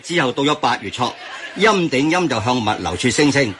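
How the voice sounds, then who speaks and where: A man's voice: medium pitch, lively intonation, fairly quick, a bit bright, slight background noise, close to an everyday voice. A man with a Hong Kong accent acting a well-informed friend who patiently explains news in a radio drama. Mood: explanatory.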